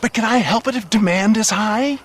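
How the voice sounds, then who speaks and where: A man's voice: high-pitched, brisk, fairly bright tone, a little hiss or hum. A man playing an old, pompous, wheedling swindler in a video game, with florid salesman patter and stammering excuses. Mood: wheedling, defensive. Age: older. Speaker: elixir peddler. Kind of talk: dramatic video game cutscene acting.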